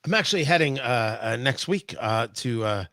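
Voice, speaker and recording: A man's voice: mid-range voice, animated, normal speed, sharp tone, dry recording, clean studio sound. A man hosting a live talk show, chatting casually with viewers in a playful, rambling way full of asides and mock indignation.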